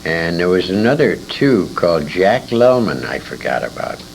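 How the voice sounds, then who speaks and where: Very deep, gravelly older American man. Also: moderate pace. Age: 60s. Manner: animated anecdotal storytelling with emphatic repetition. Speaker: interview guest, old-time radio veteran. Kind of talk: casual reminiscing interview. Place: radio convention, live interview. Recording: noisy amateur convention interview tape.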